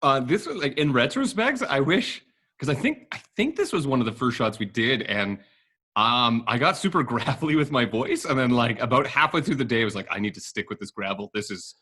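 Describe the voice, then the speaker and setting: A man's voice: mid-range voice, lively intonation, fairly quick, bright, forceful tone, slightly rough. Rambling young man, casual. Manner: rambling, self-deprecating, lots of fillers. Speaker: actor in the ad. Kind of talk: casual chat.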